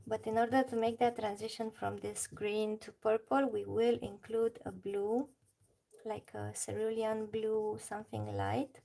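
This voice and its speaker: A woman's voice: a little high, level tone, measured pace, vocal fry, warm tone. A woman from Romania with a Romanian accent, chatting casually on a livestream while she colours and answers her chat. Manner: chatty, reads chat aloud, laughs often.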